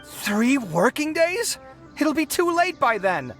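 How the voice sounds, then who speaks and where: A man's voice: very high-pitched, very animated, slow, a bit bright, soft background music, heavily acted. A man voicing a dramatic, earnest young idealist in a video game, theatrical and given to pleading. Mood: wheedling. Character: dramatic young male idealist, wheedling yet principled.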